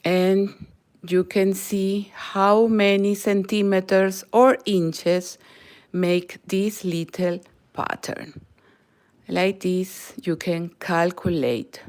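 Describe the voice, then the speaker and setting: Slow Peruvian woman teaching crochet. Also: mid-range voice, steady tone, a little mellow. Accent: Peruvian Spanish. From Peru. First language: Spanish. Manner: slow, careful, non-native English, often drops to a near whisper. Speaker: crochet channel host. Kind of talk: live crochet tutorial.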